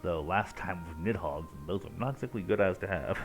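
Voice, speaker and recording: A man's voice: low voice, lively intonation, normal speed, faint music behind. A man streaming a game, commenting casually and playfully as he reacts aloud. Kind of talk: casual live gameplay commentary.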